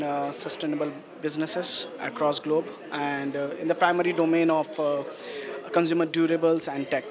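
A man in his thirties, a chief operating officer, speaking with many "uh" fillers in an event interview. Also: fairly high pitch. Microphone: handheld interviewer mic.

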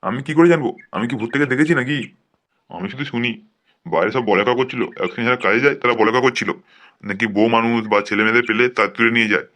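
A man's voice: mid-range voice, quick pace, fairly bright tone, close to an everyday voice. A man voicing a homely old grandfather in a comic cartoon, fussing and insistent. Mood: cautioning. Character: nagging, protective, uneducated old grandfather. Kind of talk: comic cartoon dubbing in colloquial Bengali.

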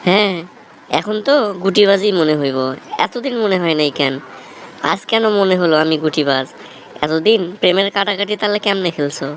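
A man's voice: high-pitched, moderate pace, fairly bright tone. A man playing a cocky, theatrical young jilted village lover in a comic cartoon, with a Bangladeshi accent. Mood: indignant. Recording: noticeable background noise.

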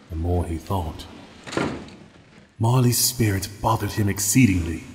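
A man narrating an audio play as a measured storyteller. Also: low-pitched, darkish tone. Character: measured male storyteller of a Victorian tale. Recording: reverberant, faint background noise.

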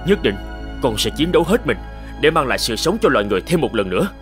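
Young male hero god, male actor, animated fairy tale: earnest, brave, medium pitch, expressive. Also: fast talker, music in the background, lightly acted. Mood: resolute, respectful. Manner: earnest, resolute, respectful. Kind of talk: cartoon hero acting.

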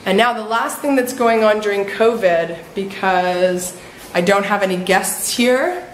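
A woman in her thirties walks through her house with her phone held out and talks upbeat and casually, full of fillers. Her voice sits at a middle pitch. A faint hiss of noise stays under the whole take. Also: unhurried. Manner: chatty, upbeat, lots of fillers like "like" and "you know". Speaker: vlogger giving a home tour. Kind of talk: casual house tour walkthrough. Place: house with open patio in a warm climate. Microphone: handheld phone camera, often at arm's length.

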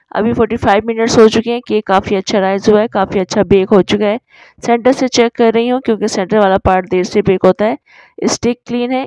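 A woman's voice: medium pitch, a little mellow, no room sound, poor audio. A woman narrating a recipe tutorial as a voice-over, explaining each step in an instructive way. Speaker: cooking channel host.

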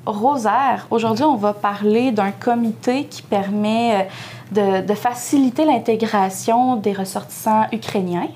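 A woman's voice: medium pitch, measured pace, warm tone, slight background noise. A young woman hosting a studio TV interview, asking questions in a plain conversational way. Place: small TV studio. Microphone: lapel.

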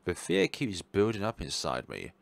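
Low-voiced man narrating a horror game. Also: wildly swooping pitch, moderate pace, vocal fry. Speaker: let's play host reading a visual novel aloud. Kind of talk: dramatic narration of game text.

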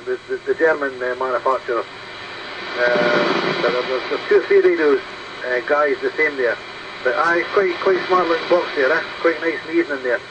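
A man's voice: mid-range voice, highs cut off. A Scottish man chatting casually over CB radio, repeating himself and often ending sentences with "eh". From Scotland. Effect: heard over CB radio. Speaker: amateur CB radio operator. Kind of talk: casual two-way radio chat. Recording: very noisy CB radio transmission. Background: loud radio static and hiss.

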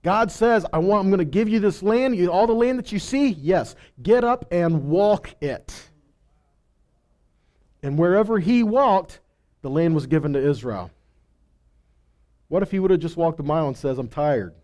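Animated man preaching with a dark tone. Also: high voice, moderate pace, long pauses. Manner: animated, gesturing while preaching, reads scripture aloud. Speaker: pastor. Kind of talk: sermon teaching. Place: small stage with purple lights, indoors. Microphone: headset mic.

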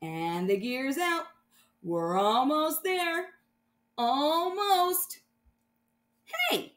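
A woman's voice: high-pitched, animated, drawn-out words, a bit bright, roomy sound, pitched above the performer's own voice, exaggerated voice. A woman voicing a bold, dramatic boy space hero in a children's storybook, in a theatrical, exclamatory manner. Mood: tense. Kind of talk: children's storybook read-aloud.

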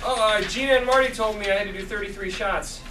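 A young man in a comedy table read playing an excitable, naive coffee shop worker in his early twenties. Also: sits high, fast talker, close to an everyday voice. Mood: earnest. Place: small theatre stage, seated before an audience. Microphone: far camera. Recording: echoey, noisy, distant stage recording.

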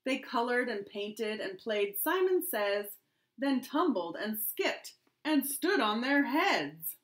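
A middle-aged woman reads to young children like a kindergarten teacher. Her voice sits a bit high and swings widely in melody. She leans into the rhymes with expressive, sing-song emphasis. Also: slow pace. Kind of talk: reading a rhyming picture book aloud to children. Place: small home room indoors.

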